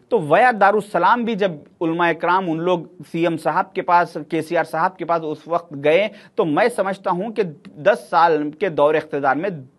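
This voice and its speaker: A middle-aged man, giving sarcastic, emphatic political commentary to camera in a studio. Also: fairly high pitch.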